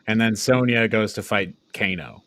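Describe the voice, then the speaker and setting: A man's voice: fairly low pitch, moderate pace, pushed and bright, no echo. A man co-hosting a casual, informal review discussion, recapping things in an offhand way. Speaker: podcast co-host reviewing films.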